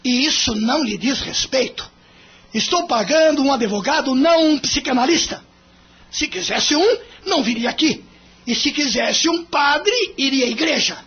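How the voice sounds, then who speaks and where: An audio drama figure of a rich, impatient businessman, acted by a man. His voice sits unusually high for a man and he speaks curtly and formally, brushing others off. The recording is dull and muffled. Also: lively intonation, normal speed, a bit bright. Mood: irritated, dismissive. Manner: brusque, formal, dismissive. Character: curt, impatient wealthy businessman seeking divorce.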